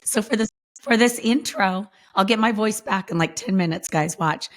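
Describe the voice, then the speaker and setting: A woman hosting a casual podcast with a hoarse voice, giving brief, easygoing replies. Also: medium pitch, normal speed. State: lost voice, hoarse. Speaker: podcast host. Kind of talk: casual chat.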